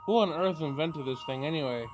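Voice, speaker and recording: Man reading as a sardonic young detective, higher-pitched. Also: fairly quick, background noise, lightly acted. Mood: playful, sarcastic. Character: sardonic young male amateur detective, teasing big brother. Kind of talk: reading visual novel dialogue aloud.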